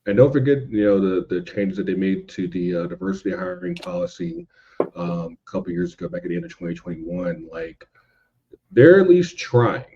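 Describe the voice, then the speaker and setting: A man's voice: sits low, sing-song, moderate pace, warm tone, slight background noise. An American man chatting casually as a podcast guest, informal and slangy, often repeating phrases for emphasis, on a dull lo-fi recording.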